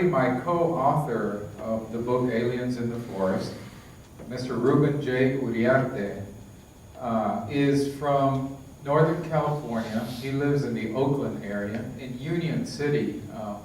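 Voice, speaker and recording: A man's voice: medium pitch, a little mellow. A man giving a conference lecture in a conversational way, with many fillers and restarts. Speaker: lecturer, book author. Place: lecture hall. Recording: echoey hall, distant, faint hiss.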